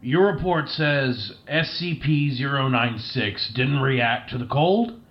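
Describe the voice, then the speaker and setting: A man's voice: mid-range voice, takes it slowly, close to an everyday voice. A man playing a formal, composed scientist conducting a debriefing in an audio drama, polite and clinical, on a slightly dull recording. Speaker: interviewer in an audio play.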